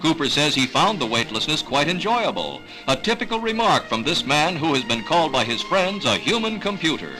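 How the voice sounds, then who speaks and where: An American man reading scripted 1960s newsreel narration in a dramatic, measured announcer delivery. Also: fairly high pitch, sing-song, rough recording. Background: music.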